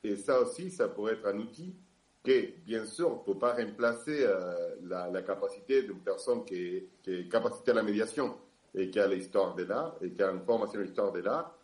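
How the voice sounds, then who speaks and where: A man from Argentina with an Argentine Spanish accent, speaking on a panel in French and often pausing to search for words. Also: on the low side, darkish tone, echoey room. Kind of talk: public discussion.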